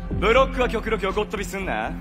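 An actor playing a confident, teasing teenage volleyball captain, breezy and instructing in a theatrical stage style. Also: male voice, sits high, very animated, fast. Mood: commanding. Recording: stage performance with music behind.

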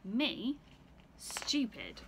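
A woman's voice: fairly high pitch, wildly swooping pitch, very slow, fairly bright tone, slight background noise, exaggerated voice. A woman voicing a pushy, dim goat in a children's storybook, demanding and indignant.

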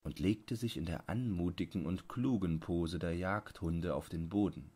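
Deep, husky, slow male narrator. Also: dark tone. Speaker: audiobook narrator. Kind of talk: scripted literary narration.

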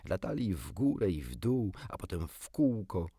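A man's voice: sits low, sing-song, normal speed, creaky, dark tone, slightly rough, no echo, studio quality. A man narrating a children's storybook expressively, as an audiobook reader.

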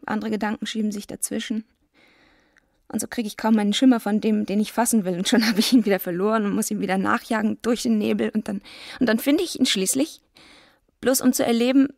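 An actress playing a tormented, despairing young woman in a radio drama, speaking in a halting, brooding way. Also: medium pitch, vocal fry, darkish tone, no echo, studio quality. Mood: desperate. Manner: halting, brooding, self-loathing.

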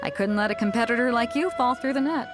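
A woman's voice: fast talker, lightly acted. An actress voicing a bold, confident female sea captain who organizes a fighting tournament and teases her companions, in a game cutscene with music behind her. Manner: booming announcer calls to a crowd. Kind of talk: video game voice acting.

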